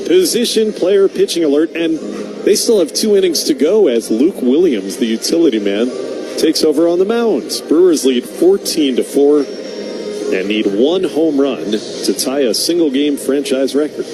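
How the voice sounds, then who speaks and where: A man's voice: fairly high pitch, expressive, a little slow, over music, poor audio. A man giving live baseball commentary on the radio.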